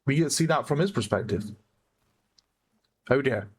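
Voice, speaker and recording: Man, hoarse voice, chatty game caster, mid-pitched, self-correcting play-by-play. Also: lively intonation, moderate pace. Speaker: esports caster. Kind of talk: live game commentary.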